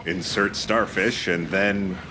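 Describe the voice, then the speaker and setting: A man making deadpan, sarcastic casual remarks as a game commentator. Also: low voice, slow pace, noisy background. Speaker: let's play commentator. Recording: loud game sound behind voice.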